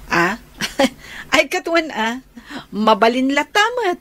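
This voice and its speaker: Friendly big sister in ilocano radio comedy, highish swingy voice, faint music. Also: female voice, very animated, slow, fairly bright tone, exaggerated voice. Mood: casual. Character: older sister, casual and friendly.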